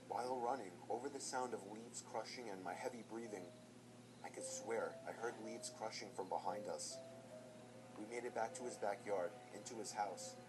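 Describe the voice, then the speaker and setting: A man telling a first-person horror story in a measured, tense scripted narration. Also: on the low side, noisy background.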